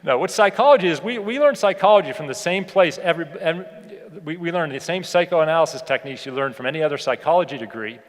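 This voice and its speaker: A man's voice: fairly high pitch, lively intonation, fast talker, hardly any pauses. A middle-aged American man giving a motivational keynote, talking in a conversational, emphatic way and repeating key phrases. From United States (Pittsburgh). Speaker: keynote speaker, leadership educator. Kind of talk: motivational keynote speech with personal anecdotes. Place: large indoor hall, speaking to an audience. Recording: echoey hall with long reverb.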